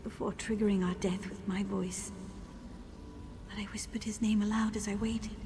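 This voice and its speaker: A woman's voice: medium pitch, level tone, normal speed, noisy background, lightly acted. An actress playing a sorrowful, formal ghost woman longing for her lover in a video game. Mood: grieving. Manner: mournful, formal.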